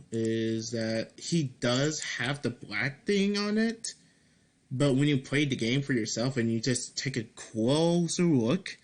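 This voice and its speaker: Slow-paced man in a casual devlog. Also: medium pitch. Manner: halting, repeats and restarts phrases; mild swearing as emphasis. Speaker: game developer making a devlog. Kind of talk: casual screen-recorded commentary, reading and answering feedback.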